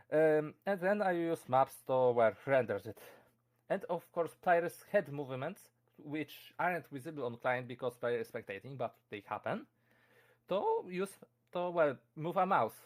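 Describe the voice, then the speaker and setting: A young man with a Polish accent talks casually into a desk microphone. His voice sits a little high, and he stumbles through fillers and restarts, chuckling often. Keyboard typing can be heard behind him. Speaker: convention presenter. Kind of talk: casual live-streamed talk answering chat questions.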